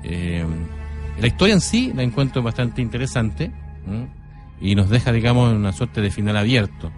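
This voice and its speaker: An older man giving a review on a radio show in halting, formal, deliberate phrasing. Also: mid-range voice, lively intonation, soft background music.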